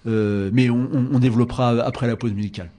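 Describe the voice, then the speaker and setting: A man's voice: medium pitch, steady tone, quick pace, warm tone, a little hiss or hum. A man hosting a radio interview, talking casually with many fillers and restarts. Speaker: radio show host.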